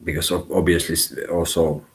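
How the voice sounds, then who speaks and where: A man from Finland whose first language is Finnish, giving a live tarot reading in halting English as he searches for words. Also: sits low, little pitch movement, slight background noise. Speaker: tarot reader.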